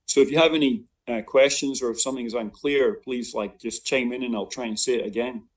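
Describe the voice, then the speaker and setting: Older man, Scottish accent, professor giving a seminar, halting and self-correcting delivery, medium pitch, off-mic sound. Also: steady tone. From Scotland. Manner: halting, with frequent self-interruptions and repeated words. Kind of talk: informal academic lecture with slides. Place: remote online seminar. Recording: distant mic on online seminar.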